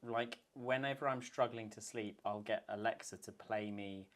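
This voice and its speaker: A man's voice: fairly low pitch, normal speed. A man hosting a podcast, asking questions in a friendly, easy-going way. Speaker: podcast host. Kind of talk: casual interview chat.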